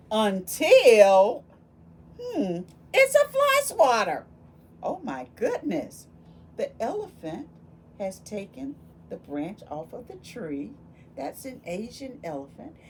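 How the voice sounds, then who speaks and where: A woman reading a picture book aloud to children in an expressive, exclaiming way, speaking directly to her young listeners with questions and asides. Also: sits high. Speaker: volunteer children's book reader. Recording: steady background noise.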